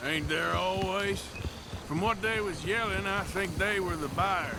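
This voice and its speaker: A man's voice: high voice, unhurried, background noise. An actor playing a tough, wry outlaw henchman in a western video game, speaking in a laconic, teasing way. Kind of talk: video game drama acting.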